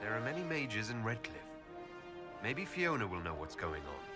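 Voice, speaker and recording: An actor playing a blunt hero in a fantasy video game, speaking in a direct, questioning way. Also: male voice, lowish voice, sing-song, normal speed, in a large hall, over loud music. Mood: surprised, concerned. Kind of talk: video game dialogue acting.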